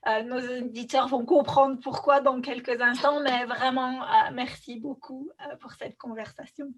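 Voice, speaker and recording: A woman hosting a relaxed podcast conversation, her voice sitting a little high for a woman. She talks with frequent pauses and fillers, often restarting her sentences as she goes. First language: French. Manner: hesitant, many fillers and restarts. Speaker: podcast host. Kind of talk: casual conversational interview.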